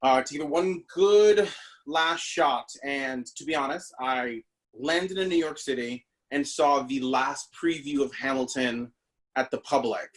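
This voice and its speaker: A man's voice: mid-range voice, unhurried. Chatty man from the Bahamas. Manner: chatty, with many fillers and restarts. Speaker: stage actor guest. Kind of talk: casual interview storytelling. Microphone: video call.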